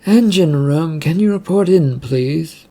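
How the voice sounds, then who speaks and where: A man voicing a brisk, worried ship's captain who gives orders with authority in an audio story. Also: on the high side, lively intonation, creaky, mellow, voice pushed up, exaggerated voice. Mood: commanding.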